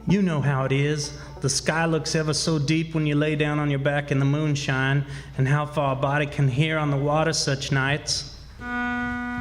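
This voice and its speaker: A man's voice: on the high side, natural voice. A man playing a wily runaway country boy who tells his own adventures in rustic speech for a radio drama. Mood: wistful, amused. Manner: rustic ungrammatical storytelling. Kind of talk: first-person radio drama narration. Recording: live stage hall with reverb.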